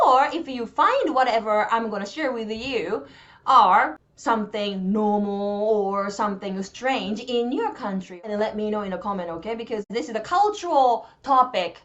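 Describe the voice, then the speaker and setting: A woman's voice: on the high side, highs cut off. A woman in her thirties from Japan with a Japanese accent, chatting animatedly to her viewers as a vlog host. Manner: chatty, animated, switches between languages, drawn-out explaining. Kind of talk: casual bilingual vlog talk.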